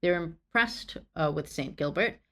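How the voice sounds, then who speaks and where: A woman with a low-pitched voice reads a prepared history lesson. She speaks earnestly and drops in small apologetic asides. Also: animated, moderate pace, fairly bright tone. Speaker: history video creator. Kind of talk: scripted educational narration.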